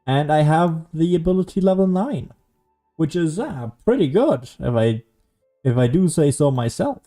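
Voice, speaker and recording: A man giving casual solo gameplay commentary as a streamer, rambling and thinking out loud as he goes. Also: on the high side, animated, moderate pace, faint music behind. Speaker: gaming streamer.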